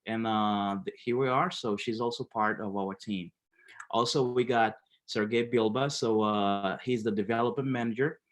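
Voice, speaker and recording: A man from Haiti presenting a business webinar, with many fillers and halting restarts. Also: lowish voice, fairly even intonation.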